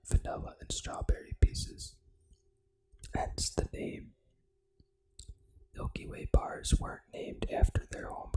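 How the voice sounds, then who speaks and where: An ASMR gaming host, reading trivia in a breathy, unvoiced whisper with casual, informal asides. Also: low-quality recording. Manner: casual, informal, unhurried reactions to what is read.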